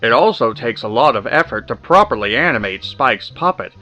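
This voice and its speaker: A man's voice: medium pitch, expressive, a little fast, sharp tone, muffled recording, faint music behind. A man playing an earnest studio voice actor explaining his work in a scripted mock interview. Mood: earnest, explanatory.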